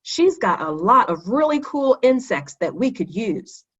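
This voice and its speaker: A woman's voice: on the high side, big pitch swings, a little slow, slightly rough, dull audio, voice pushed up, exaggerated voice. A woman voicing a friendly, cheerful schoolboy who encourages teamwork in a children's storybook. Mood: encouraging. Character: friendly, cooperative schoolboy, cheerful team player.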